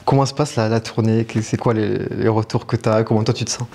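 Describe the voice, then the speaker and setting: A man's voice: fairly low pitch, steady tone, a little fast, a little mellow. A man interviewing a guest, asking brief, friendly questions.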